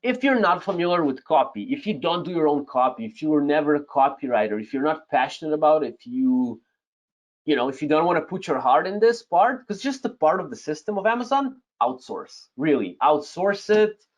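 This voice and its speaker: A Russian-accented man in his thirties leads a live online class, his voice sitting a little high for a man. He keeps checking in with viewers, tags his points with "yes?" and chuckles between them. The webcam sound is a bit dull and lo-fi. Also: fairly quick. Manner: lively, talks to the chat, frequent "yes?" tags and chuckles. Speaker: webinar host, Amazon seller coach. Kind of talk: live webinar teaching. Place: home room, indoors. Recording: slightly dull lo-fi webcam audio.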